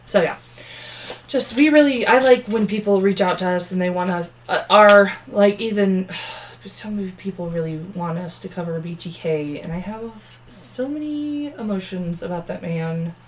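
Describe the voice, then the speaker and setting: A woman's voice: medium pitch, normal speed, slight background noise. A young woman hosting a casual podcast, giggly and swearing freely. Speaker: podcast host. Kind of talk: casual podcast chat with a read ad.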